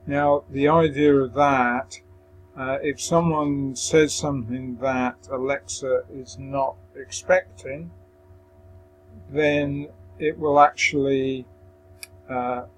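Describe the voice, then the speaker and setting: Slow-paced man giving a software walkthrough. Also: mid-range voice, slight background noise, poor audio. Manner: hesitant, explaining step by step. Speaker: tutorial presenter. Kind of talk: software screen-recording walkthrough.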